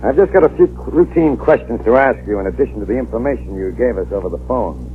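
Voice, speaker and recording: An actor playing a matter-of-fact police sergeant in an old radio drama. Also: male voice, mid-range voice, lively intonation, quick pace, narrow, tinny audio, natural voice. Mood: businesslike. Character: plain, businesslike male police sergeant. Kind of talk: 1940s radio acting. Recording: noisy old radio broadcast.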